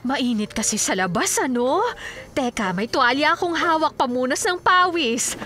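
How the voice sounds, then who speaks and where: An actress with a Filipino accent playing a devoted, sarcastic wife who fusses and simmers with jealousy in a radio drama. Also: female voice, sits high, expressive, normal speed, bright, forceful tone. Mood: fussing, sweet. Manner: sarcastic, fussing, feisty.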